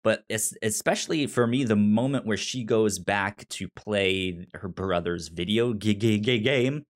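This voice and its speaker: Male podcast host, casual reviewer. Slow, halting delivery with frequent stammered repeats. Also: on the low side.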